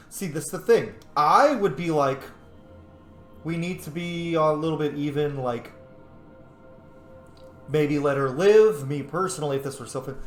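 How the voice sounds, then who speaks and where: Roomy-sounding man doing casual game commentary. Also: fairly high pitch. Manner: informal, opinionated, swearing. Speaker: gaming host. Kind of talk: casual roleplay playthrough commentary. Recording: roomy echo, game music behind.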